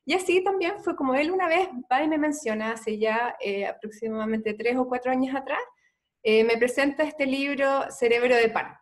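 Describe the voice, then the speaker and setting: A woman's voice: sits high, normal speed. A woman from Chile with a Chilean Spanish accent, a doctor guest telling her story in an earnest, conversational way with many "eh" fillers.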